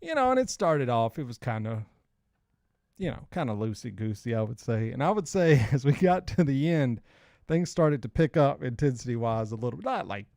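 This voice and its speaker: A man's voice: mid-range voice, sing-song, moderate pace, creaky, a little mellow, no room sound, clean studio sound. A man in his forties co-hosting a podcast with casual, slangy banter and rambling storytelling. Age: 40s. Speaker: podcast co-host.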